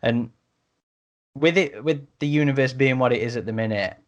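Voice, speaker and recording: A man in a casual podcast discussion, talking in a halting, piecemeal way. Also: mid-range voice, muffled recording. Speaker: podcast panellist.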